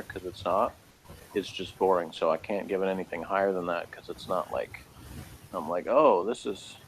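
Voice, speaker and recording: A man's voice: sits low, a little hiss or hum, rough recording. An American man chatting casually as a co-host of a review show, with a laid-back, dry, blunt manner. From United States. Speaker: podcast co-host reviewing a TV episode. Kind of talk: casual chat.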